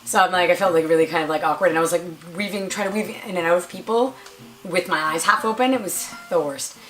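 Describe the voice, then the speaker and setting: A young woman with a raspy voice, chatting casually to camera as a vlogger and filling her stories with "like". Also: fairly low pitch, quick pace, fairly bright tone, rough recording. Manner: chatty, lots of "like" and quoted dialogue.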